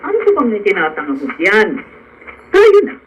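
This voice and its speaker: A woman's voice: medium pitch, huge pitch swings, dull audio. A woman playing a commanding middle-aged hacienda matriarch in a Filipino radio drama. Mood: impatient, bossy. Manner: brisk, commanding. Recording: radio broadcast with faint noise.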